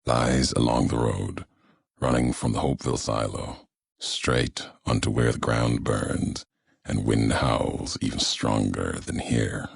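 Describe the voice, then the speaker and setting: A brooding, philosophical wasteland wanderer from a video game, vengeful and solemn. His voice is heavily gravelled and very husky, and he delivers clipped, cryptic lines at a slow, weighty pace. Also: extremely low pitch, steady tone, no echo, studio quality, full character voice. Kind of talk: video game voice acting, slow portentous monologue.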